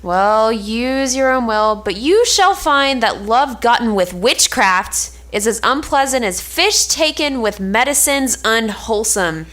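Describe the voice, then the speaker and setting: A woman's voice: fairly high pitch, expressive, unhurried, few pauses, fairly bright tone. A woman playing a sensible young confidante, disapproving and pitying, in a reading of an Elizabethan stage drama. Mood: cautioning.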